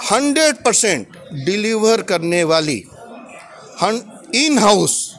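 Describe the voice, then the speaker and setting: High-voiced Indian man presenting at a trade booth. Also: a bit bright. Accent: Indian English. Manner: explains point by point in lists, mixing Hindi and English. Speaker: company regional head presenting at a trade booth. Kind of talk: sales presentation to a visitor. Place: large indoor exhibition hall booth. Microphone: handheld microphone.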